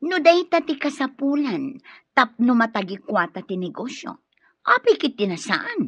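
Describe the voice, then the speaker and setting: A woman's voice: a little high, big pitch swings, normal speed, slightly rough, no echo. An actress playing a greedy, conniving woman who speaks insistently in an Ilocano radio drama. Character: scheming, greedy woman urging her male accomplice on. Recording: dull lo-fi radio drama sound.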